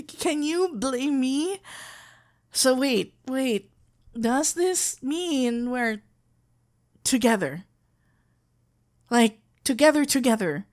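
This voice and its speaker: High-voiced, flustered lovestruck young florist played by a woman. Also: lively intonation, heavily acted. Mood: shy, hopeful. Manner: stammering, halting, breathless and giddy. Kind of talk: ASMR roleplay acting.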